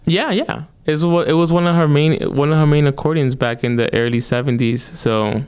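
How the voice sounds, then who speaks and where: A young adult man, a musician guest, telling stories in a relaxed, rambling way full of fillers. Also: fairly high pitch, normal speed, smooth. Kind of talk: casual storytelling interview.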